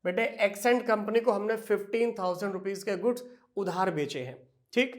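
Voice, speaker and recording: A man teaching accounting in a classroom lecture style. He addresses the students fondly, poses questions and then answers them himself, and slows right down when he dictates entries. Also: high voice. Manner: slow, drawn-out dictation of entries.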